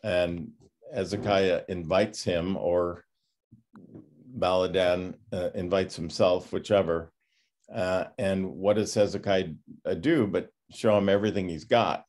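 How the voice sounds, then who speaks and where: An older man in his sixties, a pastor giving an informal class talk over a video call, reflective and halting with many pauses. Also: low voice, steady tone, a little mellow. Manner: halting, reflective, often pausing. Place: home study with bookshelves, on a video call. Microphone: computer microphone on a video call.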